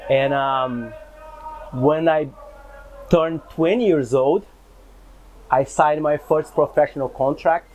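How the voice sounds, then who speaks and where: A man from Brazil, a football coach, answering interview questions in an upbeat way with many pauses and fillers. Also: a little high, darkish tone, slight background noise. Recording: slightly dull, lo-fi sound.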